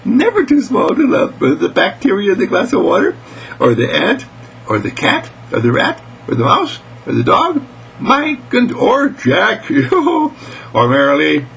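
A man's voice: high voice, huge pitch swings, unhurried, vocal fry, fairly bright tone, slight background noise, pitched above the performer's own voice, exaggerated voice. A man voicing a sweet little girl in a bedtime story, earnest and full of exclamations. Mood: gushing, delighted. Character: sweet, gushing little girl who loves small creatures.

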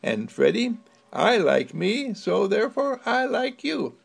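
A man's voice: sits high, big pitch swings, takes it slowly, creaky, husky, voice pushed up, heavily acted. A man voicing a group of happy young children in an eager, sing-song, gushing manner.

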